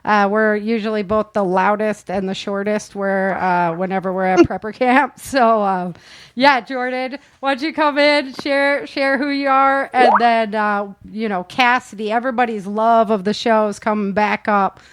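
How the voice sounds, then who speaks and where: A woman's voice: medium pitch, steady tone, normal speed, dry recording. A woman hosting a casual live interview chat, talking in a chatty, effusive way as she introduces performers.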